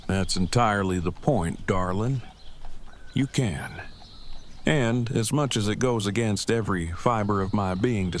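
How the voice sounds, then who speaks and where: A man's voice: on the low side, slight background noise, lightly acted. A man playing a devoted husband and father in an audio drama, speaking in wordy, formal, earnest sentences. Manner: wordy, formal, stilted phrasing. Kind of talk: fan audio drama acting.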